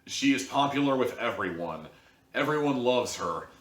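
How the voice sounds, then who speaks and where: A man's voice: medium pitch, expressive, normal speed. A man hosting an opinionated review, speaking in an exasperated, emphatic way. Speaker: host, audio drama reviewer. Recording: echoey room, distant from mic.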